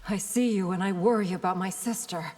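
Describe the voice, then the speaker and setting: A woman voicing a composed, dutiful warrior princess in a video game, speaking formally and with measured poise. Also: medium pitch, natural voice. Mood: composed, firm. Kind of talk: video game character acting. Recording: long reverb, loud background noise.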